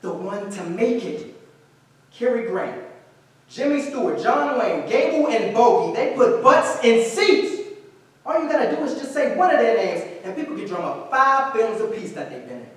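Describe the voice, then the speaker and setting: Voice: mid-range voice, big pitch swings, normal speed, lightly acted. Heard from a distance in a very echoey room, an actor plays a cocky, bitter young man in a stage drama. He is combative and taunting, with slangy, streetwise talk. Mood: bitter, ambitious. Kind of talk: staged play reading, heated dramatic dialogue.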